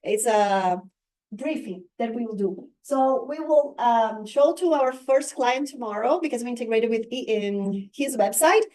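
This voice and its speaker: High-voiced Brazilian woman giving a training. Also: a little mellow. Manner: halting, searches for words with many fillers. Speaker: real estate marketing trainer. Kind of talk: live training presentation.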